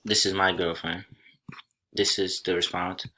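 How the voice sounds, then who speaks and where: A young college student from Senegal, raised speaking Soninke, walks his class through a slide presentation. His voice sits low and holds a bright, forward edge. He talks plainly and without hurry, and now and then he starts a phrase over. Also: flat delivery. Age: young adult. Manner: plain, unhurried, with small restarts.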